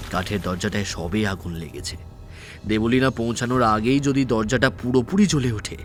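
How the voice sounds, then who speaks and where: A man narrates an audio story in a scripted, dramatic style. His voice is on the low side. Faint music plays beneath him. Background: faint background music.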